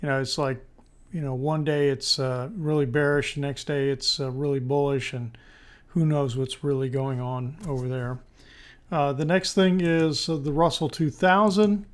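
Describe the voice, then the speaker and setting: Slightly hoarse man giving market commentary. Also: medium pitch, steady tone, moderate pace. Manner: informal, tentative, thinking aloud. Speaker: stock market video presenter. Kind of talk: screen-share market analysis commentary.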